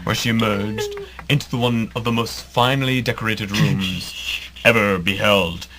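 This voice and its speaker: A man's voice: fairly low pitch, fairly even intonation, moderate pace, a crowd in the background, lightly acted. A man playing the grandiose narrator of a comic superhero audio drama, reading scripted storybook narration. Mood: dramatic.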